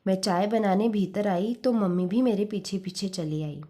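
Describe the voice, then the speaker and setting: A woman's voice: medium pitch, moderate pace, dark tone. A woman reading a short story aloud in a scripted audiobook narration style.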